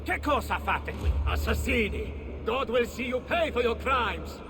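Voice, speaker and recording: An actor playing an angry male guard, shouting accusations at intruders. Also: extremely high pitch, expressive, normal speed, background noise. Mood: furious.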